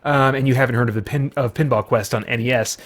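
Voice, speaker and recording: A man's voice: mid-range voice, steady tone, quick pace. A man who hosts a gaming channel, talking casually and enthusiastically in a playful, rambling, conversational way. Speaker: video game streamer and channel host. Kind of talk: casual enthusiastic vlog talk about games.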